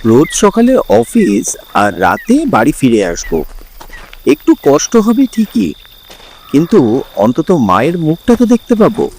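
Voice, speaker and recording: A man narrating a scripted first-person horror story, dramatic and suspenseful, in deliberate phrases broken by pauses. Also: medium pitch, darkish tone, a little hiss or hum.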